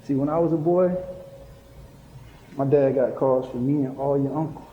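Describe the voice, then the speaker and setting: A man's voice: medium pitch, normal speed, narrow, tinny audio, natural voice. A man acting the part of a proud, doting middle-aged father in a live stage play. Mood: tender, proud. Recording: echoey hall, stage play picked up live.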